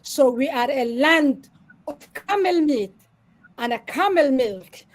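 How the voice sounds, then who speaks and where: High-voiced woman on a video call. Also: animated, takes it slowly. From Somalia. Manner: earnest, explains patiently with many "you know" fillers. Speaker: interview guest. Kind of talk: live online interview.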